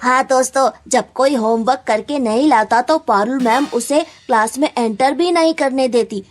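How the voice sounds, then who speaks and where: A woman's voice: high voice, normal speed, faint music behind, natural voice. A woman voicing an earnest, anxious young schoolchild in a children's cartoon. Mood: worried, guilty.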